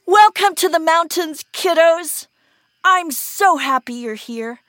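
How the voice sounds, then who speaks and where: A woman voicing a scheming, gushing aunt in a children's folktale, with drawn-out theatrical delivery. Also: very high-pitched, animated, a bit bright, no room sound, studio quality, faint music behind, pitched above the performer's own voice, heavily acted. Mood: sweetly persuasive. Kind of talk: children's story character voice.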